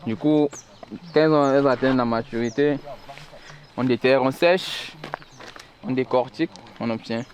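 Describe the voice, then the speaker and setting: A man's voice: mid-range voice, moderate pace. A man patiently explaining crops to visitors, recorded outdoors in a village field. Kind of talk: casual explaining.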